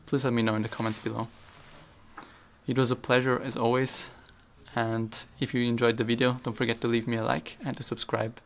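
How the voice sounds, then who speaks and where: A young man giving calm, matter-of-fact advice as a vlogger. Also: mid-range voice, brisk, vocal fry, slight background noise. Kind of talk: scripted voice-over tips. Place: outdoors by apartment blocks in winter.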